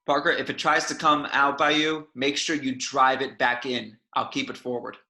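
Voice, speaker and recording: A man's voice: mid-range voice, level tone, moderate pace, pushed and bright. A man playing a decisive spaceship captain in an amateur table read of a sci-fi script, recorded in a roomy space with echo. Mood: commanding. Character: decisive male spaceship captain giving orders.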